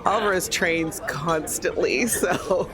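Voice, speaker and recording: A woman's voice: fairly low pitch, slow, crowd noise. A woman playing a tabletop game, chatting casually and jokingly as she describes her character.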